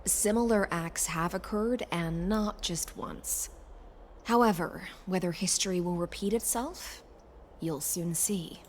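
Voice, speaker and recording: A courtly female herald with a slightly low voice. She speaks in measured, formal game dialogue over faint ambient noise. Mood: knowing.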